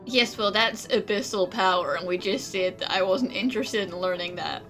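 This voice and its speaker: A woman's voice: mid-range voice, moderate pace, a bit bright. A woman playing along with a video game, commenting casually and reading dialogue in a wry, jokey way. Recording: game music behind the voice.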